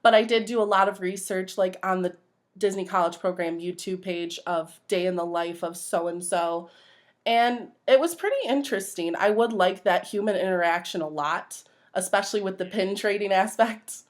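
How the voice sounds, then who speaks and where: A woman's voice: medium pitch, normal speed. A young woman answering interview questions as a job applicant, earnest and a little nervous in manner. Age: young adult.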